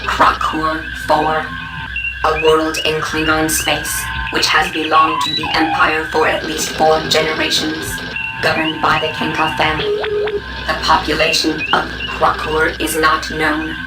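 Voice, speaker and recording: Starship computer done by an actor, totally monotone, echoey and distant, reads data. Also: mid-range voice, unhurried, natural voice. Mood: impassive. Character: impassive starship computer reading database records. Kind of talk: scripted sci-fi game database readout. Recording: echoey, distant, over loud music.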